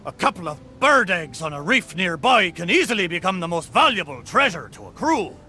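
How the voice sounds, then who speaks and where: A man's voice: high-pitched, sing-song, fairly quick, sharp tone, a little hiss or hum, full character voice. A man voicing a talking ship's spirit in a video game, a hearty, wistful seafarer with a theatrical delivery. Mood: puzzled. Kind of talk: scripted video game character dialogue.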